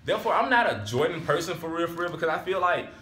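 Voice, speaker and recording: A man's voice: on the high side, lively intonation, quick pace, a bit bright, reverberant, slight background noise. A man of twenty-one, chatting casually and rambling a little as a vlogger. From Alabama, US. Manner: laid-back, rambling, repeats "so therefore". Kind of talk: casual chat. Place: small room at home.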